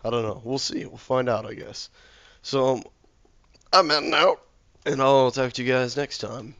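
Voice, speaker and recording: Sick, hoarse man muttering over his game. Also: mid-range voice, moderate pace, fairly bright tone, no room sound, lo-fi sound. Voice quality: slightly hoarse. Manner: mumbling, muttering to himself while building. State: sick with a sore throat. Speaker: let's play gamer. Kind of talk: casual game commentary, thinking aloud.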